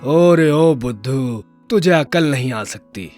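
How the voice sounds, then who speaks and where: A man voicing an angry, scornful male demon who scolds loudly in a storybook tale. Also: mid-range voice, animated, takes it slowly, faint music behind. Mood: scornful anger.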